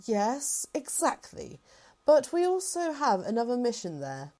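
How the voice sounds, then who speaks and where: Assured female general played by a woman, fairly high voice with animated pitch, formal and reassuring, sci-fi roleplay. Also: measured pace. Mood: composed, reassuring. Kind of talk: fan-made sci-fi roleplay drama.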